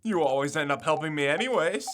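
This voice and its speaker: A man's voice: a little high, expressive, fast, background noise, natural voice. A young man playing an eager, confident college student turned amateur detective in an audio drama. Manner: confident, insistent.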